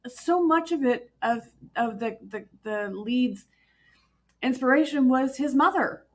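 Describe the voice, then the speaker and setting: Woman, slightly high voice, chatty, casual co-host talk, adds details. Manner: chatty, quick to add details. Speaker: insurance agent co-host. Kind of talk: casual conversational chat.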